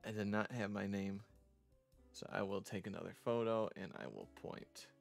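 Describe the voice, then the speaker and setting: A man giving casual, chatty commentary as they show a visual novel, often halting and interrupting themselves with asides. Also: lowish voice, gravelly. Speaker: vtuber streamer showing their own visual novel. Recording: music under the voice. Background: music.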